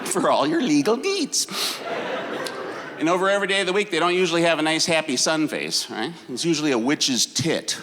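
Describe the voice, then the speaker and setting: A man from Wisconsin with a Wisconsin accent, performing a crude, animated stand-up comedy set on a club stage. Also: on the high side, a little fast. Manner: animated, crude, jumps into exaggerated character voices. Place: comedy club stage before a laughing crowd. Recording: live hall with loud crowd noise.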